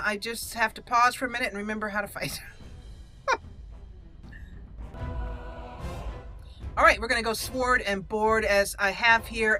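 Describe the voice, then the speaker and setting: A woman's voice: mid-range voice, bright, forceful tone. A woman hosting a chatty video game let's play with roleplay, in a playful manner. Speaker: YouTube game streamer, channel host.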